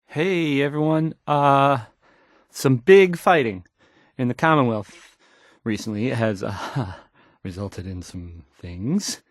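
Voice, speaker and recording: A man's voice: medium pitch, no room sound, studio quality, natural voice. A man playing an awkward, unsure young radio DJ in a video game, stumbling hesitantly through news reports. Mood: nervous, fumbling. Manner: halting, stammering, hesitant, trailing off.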